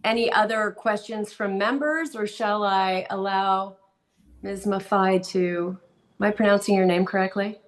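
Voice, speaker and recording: A woman's voice: mid-range voice, steady tone, unhurried. Chatty woman chairing a video-call interview. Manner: casual, filler-heavy, friendly. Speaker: committee chair moderating interviews. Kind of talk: moderating an online endorsement interview.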